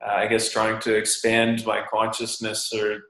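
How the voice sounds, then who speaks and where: A man's voice: lowish voice, completely flat delivery, a little slow, fairly bright tone. Young Canadian man, interview guest, rambling and thoughtful with lots of ums, roomy echo on the voice. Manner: thoughtful, rambling with many fillers and restarts. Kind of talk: casual interview talk.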